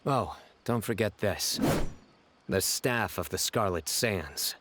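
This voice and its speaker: A man playing a stern, solemn young warrior in a video game, speaking in a deadpan, measured, grandiose way. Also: fairly low pitch, slight background noise. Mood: earnest, amiable.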